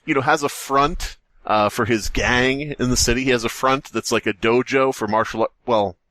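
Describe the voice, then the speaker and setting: A man's voice: mid-range voice, moderate pace, pushed and bright, no room sound. A man co-hosting a podcast, chatting casually as a rambling, sardonic storyteller who likes to joke. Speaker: podcast co-host. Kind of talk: casual comedic podcast chat.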